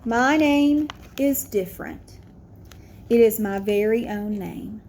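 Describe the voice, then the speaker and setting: A woman's voice: on the high side, slow pace, a little mellow, slight background noise. A woman voicing a proud, earnest young immigrant schoolboy telling his own story in a picture-book read-aloud. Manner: earnest, declarative.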